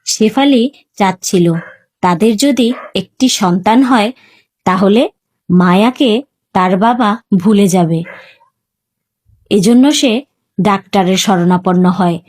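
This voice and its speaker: Slow-paced woman storyteller. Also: medium pitch, darkish tone. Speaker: story narrator. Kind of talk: scripted dramatic storytelling.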